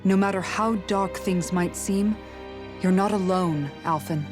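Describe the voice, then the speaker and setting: An actress playing a caring young woman companion in a video game dub, speaking in a soothing, measured, supportive way. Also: on the low side, natural voice. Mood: gentle, reassuring. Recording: game music playing behind. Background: background music.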